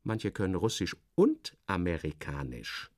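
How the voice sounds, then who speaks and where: Low-voiced man narrating theatrically. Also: unhurried, no room sound, clean studio sound. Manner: theatrical, sardonic, expressive shifts of emphasis. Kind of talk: dramatic first-person literary narration, radio play.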